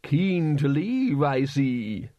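A man's voice: fairly high pitch, very animated, very slow, warm tone, no echo, highs cut off, full character voice. A man voicing a large, bluff head wizard who talks in a jovial, blustering way. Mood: hearty.

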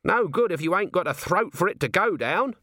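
A man playing a wheezy, scruffy little lowlife corporal, higher-pitched. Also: lively intonation, fairly quick, bright, forceful tone, no room sound, studio quality, heavily acted. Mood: alarmed. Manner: colloquial, dropped standard grammar, blurting. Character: scruffy, dim, crude, nervous male lowlife corporal.

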